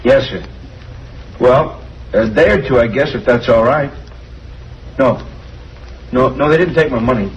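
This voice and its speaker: A man's voice: medium pitch, measured pace, pauses often, dull audio, natural voice. An actor playing an evasive, defensive salesman under police questioning in a 1950s radio drama, hesitant and protesting. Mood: polite. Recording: old radio recording, faint noise.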